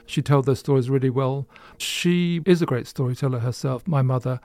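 A man's voice: mid-range voice, moderate pace, darkish tone, no echo. A man who is an author, telling anecdotes in an interview with dry humour. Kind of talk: interview storytelling.

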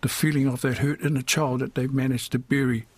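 Older kiwi guy, husky voice, talking frankly in an interview, lots of you knows and ehs. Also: mid-range voice, level tone, brisk, a little mellow, dry recording. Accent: New Zealand. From New Zealand. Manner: candid, colloquial, often adds "you know" and "eh". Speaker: playwright, interview guest. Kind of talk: reflective personal interview talk.

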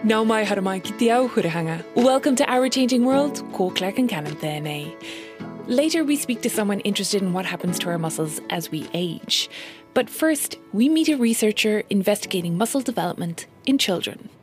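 A woman hosting a radio science show, presenting and interviewing clearly. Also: medium pitch, lively intonation, brisk, music in the background.

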